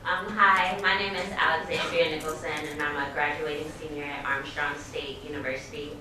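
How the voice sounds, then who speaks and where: A young woman from Georgia introduces herself quickly and chattily. Her voice sits a little low for a woman. She sounds far from the mic in a very echoey room with clear noise around her. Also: flat delivery. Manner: chatty, self-aware. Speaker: student panelist. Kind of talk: panel self-introduction.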